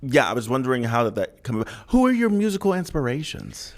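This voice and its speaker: Man, podcast host, casual chat, big trained voice, mid pitch, playful. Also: fast talker. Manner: expressive, playful, jumps into high squeals.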